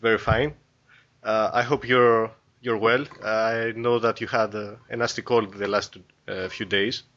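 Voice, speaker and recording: Low-voiced male podcast host, halting. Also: little pitch movement, a bit bright. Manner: halting, frequent uh fillers. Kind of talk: casual interview questions. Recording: dull lo-fi audio.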